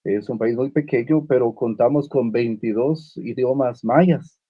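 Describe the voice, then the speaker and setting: A man from Guatemala whose first language is Uspanteko, speaking on a literary panel with many pauses, fillers and restarts. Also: mid-range voice, slightly rough, no echo, dull audio. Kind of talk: unscripted panel talk.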